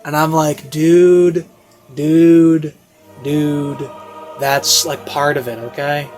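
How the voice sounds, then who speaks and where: A man's voice: fairly high pitch, flat delivery, extremely slow, darkish tone. A man giving a casual, unscripted review, rambling informally and repeating phrases for emphasis. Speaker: video reviewer. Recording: classical music playing behind voice. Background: baroque classical music.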